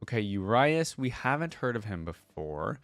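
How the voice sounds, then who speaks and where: A man's voice: on the low side, very animated, moderate pace, no echo. A man hosting a reaction video, talking informally as he comments and reads lore aloud.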